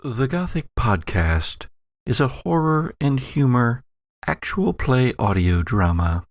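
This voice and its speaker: Slow, gravelly male horror game master. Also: medium pitch, very animated, soft background music. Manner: dramatic, deliberate, playful emphasis. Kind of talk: theatrical narration for an actual-play horror podcast.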